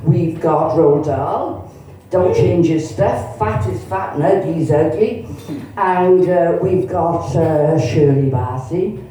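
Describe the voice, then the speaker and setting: A woman's voice: fairly low pitch, slow pace, mellow, a little hiss or hum. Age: 60s. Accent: Cardiff Welsh. Woman, bawdy stand-up comic. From Cardiff, Wales. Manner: bawdy, chatty, teasing the crowd. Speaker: stand-up comedian. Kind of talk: stand-up comedy set. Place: comedy club stage. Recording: echoey venue, mic heard from a distance.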